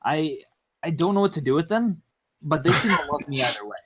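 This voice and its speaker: A man joking casually with friends on a live stream in a measured, slightly hesitant way. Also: fairly high pitch, expressive, a loud crowd. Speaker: participant in a group live stream. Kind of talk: casual chat.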